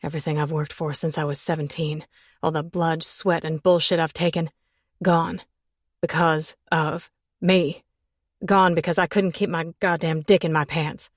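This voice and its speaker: A harsh, bitter man, as a female narrator puts him on, low and gritted. His lines come clipped and cold, close to the mic in a dry space. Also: fairly even intonation, normal speed, no room sound.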